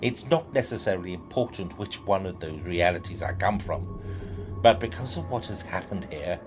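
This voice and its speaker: Man playing a low-voiced, self-assured professor. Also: expressive, moderate pace, music in the background. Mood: explaining, evasive. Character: brisk, secretive male professor from another reality. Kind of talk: scripted audio drama acting.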